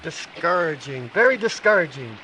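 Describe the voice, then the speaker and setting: A man's voice: fairly high pitch, big pitch swings, moderate pace, sharp tone, low-quality recording. A man playing a grumbling, clumsy ageing sidekick in an old-time radio adventure, with a folksy, clipped delivery. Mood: grumbling, weary. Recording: live stage recording with background noise.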